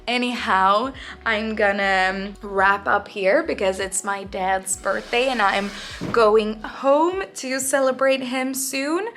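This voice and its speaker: A woman's voice: fairly high pitch, slow pace, a bit bright, a little hiss or hum. A young Swedish woman, an artist, talking to the camera in her home studio with a Swedish accent, hesitant and searching for her words in English, her second language. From Sweden. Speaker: artist vlogger. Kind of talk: casual talking-to-camera vlog. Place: home art studio, indoors.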